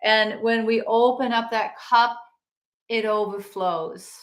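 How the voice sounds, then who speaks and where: Give me a soft, reflective woman, sounding a bit roomy. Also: a little high, fairly even intonation, slow pace. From Denmark. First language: Danish. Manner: soft, meditative, drifting quieter. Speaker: guest, yoga teacher and author. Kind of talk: spiritual interview talk.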